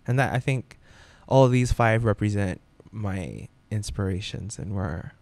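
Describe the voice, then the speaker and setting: A man working as a radio DJ, chatting as a podcast guest in an animated, expressive way. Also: on the low side, a little slow, vocal fry, no echo. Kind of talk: casual podcast interview.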